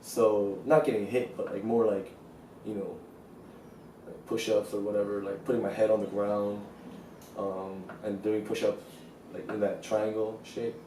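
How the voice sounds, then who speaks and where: A man's voice: lowish voice, flat delivery, a little slow, background noise. A man, talking casually in an interview, loose and rambling with many fillers. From South Korea. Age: 20s. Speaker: street artist interviewee. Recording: roomy, distant mic, faint ambience.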